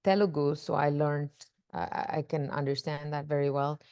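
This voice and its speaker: A middle-aged woman from India with an Indian accent, telling her life story casually in an interview and filling pauses with "you know". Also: low-pitched, little pitch movement, a little mellow, clean tone. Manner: chatty, leans on "you know" fillers, laughs easily. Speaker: oral history interviewee.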